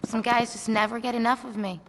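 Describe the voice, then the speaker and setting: A woman's voice: a little high, fairly even intonation, fairly quick, heavy background noise, lightly acted. A woman playing a seductive, taunting gang villain in a video game, with a provocative drawl. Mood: smug, mocking. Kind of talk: video game cutscene acting.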